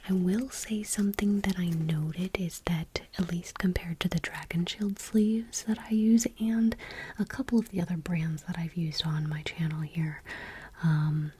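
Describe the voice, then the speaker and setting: Soft, whispery woman doing ASMR, mellow and low. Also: a little hiss or hum, poor audio. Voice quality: soft, breathy near-whisper. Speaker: ASMR channel host. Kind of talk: soft-spoken ASMR, reading trading cards aloud.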